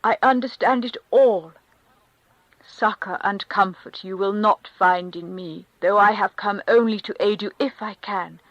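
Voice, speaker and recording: A woman's voice: mid-range voice, measured pace, dry recording, highs cut off, natural voice. A woman reading a devout countess who consoles in a fervent, preaching manner. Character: devout rapturous aristocratic countess. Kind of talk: audiobook character reading.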